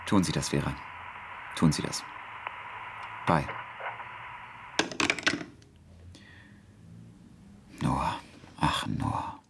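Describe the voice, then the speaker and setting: An actor playing a wry, dryly ironic British wartime intelligence officer in a radio drama. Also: male voice, rumbling low, takes it slowly, creaky, background noise, heavily acted. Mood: subdued.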